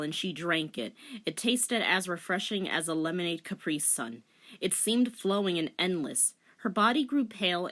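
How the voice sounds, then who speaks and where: Lower-pitched woman reading theatrically, casual asides. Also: fairly bright tone. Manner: theatrical narration with informal, incredulous asides. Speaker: host, story reader. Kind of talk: comedic dramatic reading with casual commentary. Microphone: recorded voice-over. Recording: music under the voice.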